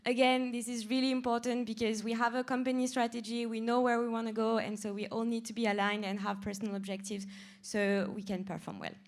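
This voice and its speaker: Woman pushing coworkers to fill in objectives, upbeat, highish voice. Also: brisk, few pauses. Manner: lively, urging, laughs. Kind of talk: presenting at a staff meeting.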